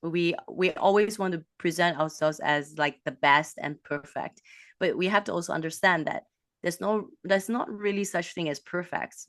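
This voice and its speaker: Woman coach with a low voice, talks fast in spurts, dry close sound. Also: no echo. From Malaysia. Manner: animated, quick bursts, self-correcting. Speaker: guest, business coach. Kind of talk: casual interview talk.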